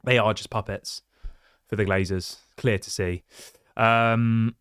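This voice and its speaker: A man's voice: on the low side, steady tone, slow, a bit bright, smooth, no room sound. A man hosting a football fan livestream, reading viewer chats and ranting passionately with lots of restarts and rhetorical questions. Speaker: football fan channel host.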